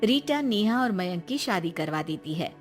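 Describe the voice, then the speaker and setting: A woman narrating a cartoon story in an even, storybook tone. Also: medium pitch, moderate pace, soft background music.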